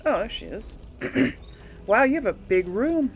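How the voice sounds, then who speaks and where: A woman's voice: fairly high pitch, lively intonation, a little slow, soft background music. A woman hosting a casual let's play, musing aloud informally and hesitantly as she talks and role-plays through a game. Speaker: gaming video host.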